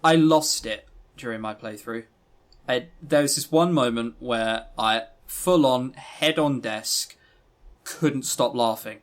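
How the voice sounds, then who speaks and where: A man's voice: medium pitch, slow pace. A man co-hosting a podcast, chatting casually and giving opinionated reviews with sarcastic, joking exasperation. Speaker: podcast co-host reviewing visual novels.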